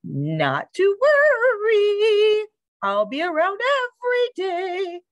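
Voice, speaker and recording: A tiny yellow bird schoolteacher from a storybook, very high and swooping wildly in pitch. She gushes theatrically in sing-song exclamations, stretching her favorite words out slowly. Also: huge pitch swings, dry recording, dull audio, heavily acted. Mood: reassuring. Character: little yellow female bird, gushing, theatrical teacher. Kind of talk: children's storybook character voice.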